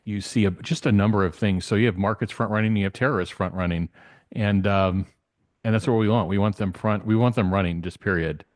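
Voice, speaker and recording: A man's voice: fairly low pitch, fairly even intonation, fairly quick, dry recording, clean studio sound. A man giving casual, off-the-cuff commentary as an interview guest, often rephrasing as he goes.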